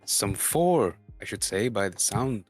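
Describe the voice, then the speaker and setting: A man's voice: lowish voice, animated, measured pace, faint music behind. A man voicing an eager, hearty young dwarf in a storybook reading.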